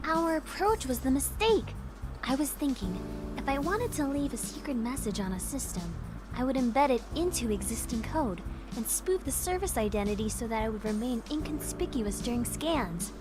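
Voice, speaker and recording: An actress playing an eager, teasing young student who is good with tech, in a voiced visual novel game, with a playful and confident delivery. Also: female voice, high voice, lively intonation, moderate pace, over music. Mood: eager, focused.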